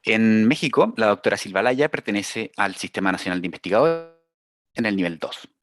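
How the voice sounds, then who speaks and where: A man's voice: lowish voice, a little fast, a bit bright. A man with a Chilean accent moderating a panel, formally introducing a guest, with small hesitations as he reads. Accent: Chilean Spanish.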